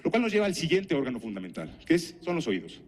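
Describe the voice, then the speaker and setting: A man's voice: fairly high pitch, very animated, quick pace. Middle-aged Mexican man giving a talk. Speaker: conference speaker and health professional. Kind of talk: conference talk with anecdotes and rhetorical questions.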